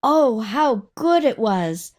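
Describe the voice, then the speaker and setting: Eager, carefree young schoolgirl read by a woman, childlike storybook delivery, fairly high. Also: big pitch swings, slow, a little mellow. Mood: delighted.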